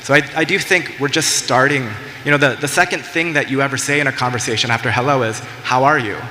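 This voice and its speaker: A man on a conference panel, talking quickly with frequent restarts. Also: mid-range voice, fairly even intonation, bright, forceful tone. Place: large conference hall. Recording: echoey hall, faint noise.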